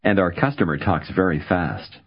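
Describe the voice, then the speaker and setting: A man narrating a language course, giving slow, deliberate prompts. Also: low-pitched, animated, breathy edge. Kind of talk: scripted instruction. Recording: dull lo-fi tape audio.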